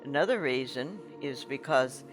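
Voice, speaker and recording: A man speaking quietly and reflectively in an interview. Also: medium pitch, expressive, moderate pace. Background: music.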